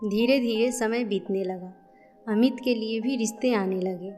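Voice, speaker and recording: A woman's voice: fairly high pitch, measured pace, dark tone. A woman reading scripted narration for a cartoon family story, with music behind her.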